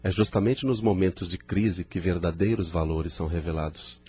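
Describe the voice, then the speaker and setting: Man playing a deep, mellow reflective spirit. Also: steady tone, normal speed, breathy edge, soft background music. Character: thoughtful male spirit listener, calm and reflective. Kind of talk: audio drama acting.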